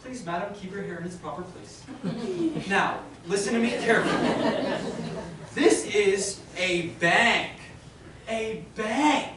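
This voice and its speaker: A man's voice: high voice, moderate pace, pauses often, natural voice. A man playing a stuffy, exasperated bank manager. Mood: stiff, patient. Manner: stuffy, exasperated, prone to outbursts. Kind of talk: stage play acting. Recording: roomy hall from far off, faint noise.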